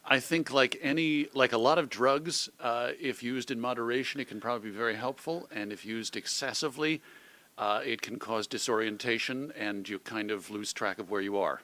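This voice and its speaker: A middle-aged man from suburban Chicago giving reflective, measured answers as an interview guest, carefully qualifying his points. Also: mid-range voice, pushed and bright. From suburban Chicago, United States. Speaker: podcast guest, magazine editor and radio host.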